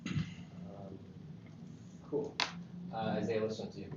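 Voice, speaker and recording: A man's voice: lowish voice, little pitch movement, slow pace. A man casually briefing a group as an organiser, with informal, laid-back phrasing. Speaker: screenwriter and table read organiser. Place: echoey indoor room with a group. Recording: dull, echoey, noisy room recording from far off.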